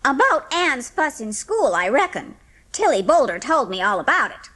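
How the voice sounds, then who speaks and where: An opinionated older village woman acted by a woman, with a highish and hugely animated voice. Her manner is folksy and knowing, fit for a storybook drama. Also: moderate pace, heavily acted.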